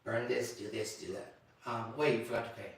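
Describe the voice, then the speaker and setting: A man voicing a scheming male fortune-teller who hustles a customer in low, hushed, ominous tones. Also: steady tone, fairly quick, close to an everyday voice. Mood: sly, ominous. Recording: very echoey room, distant mic.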